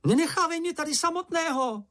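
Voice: mid-range voice, very animated, husky, voice pushed up. A narrator voicing a small, timid male animal who frets and complains in a frightened, whiny manner. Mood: panicky, reproachful. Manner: fretful, anxious, complaining.

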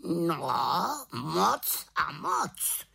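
A woman voicing a grave male talking raven in a storybook, croaky, drawn-out and reproachful. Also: lowish voice, sing-song, sharp tone, voice pushed up, heavily acted. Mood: offended, sarcastic.

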